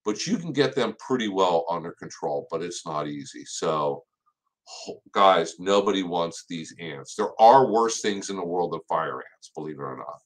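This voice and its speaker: A man's voice: low-pitched, lively intonation, moderate pace. A middle-aged man with an American accent, hosting a casual question-and-answer session as an expert. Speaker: host, extension plant expert. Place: small indoor office.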